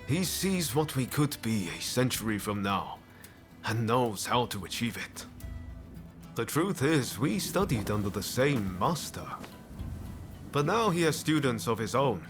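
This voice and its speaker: A roguish young samurai in a game cutscene. He is friendly and teasing, with a swooping, expressive delivery that takes its time. Music plays clearly behind him. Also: medium pitch, unhurried. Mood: friendly, enthusiastic. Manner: breezy, jaunty, teasing. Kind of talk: video game cutscene acting.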